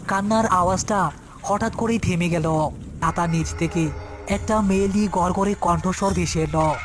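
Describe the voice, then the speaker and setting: Horror story narrator, mid-pitched, scripted storytelling over clear background music. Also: moderate pace.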